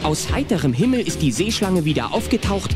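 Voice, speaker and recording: A male narrator tells a children's adventure story with animated intonation. His voice is a little high, and music plays clearly behind it. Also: brisk. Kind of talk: scripted storytelling narration for children's audio play.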